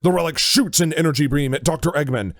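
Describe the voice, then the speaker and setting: A man's voice: a little high, quick pace, fairly bright tone, dry recording, studio quality. A man reading scripted narration for an audio drama.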